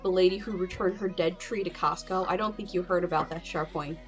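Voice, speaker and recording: A woman's voice: fairly low pitch, a little fast. A woman hosting a casual livestream, talking in a playful, rambling and crude way. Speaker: livestream host. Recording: music playing behind the voice. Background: music.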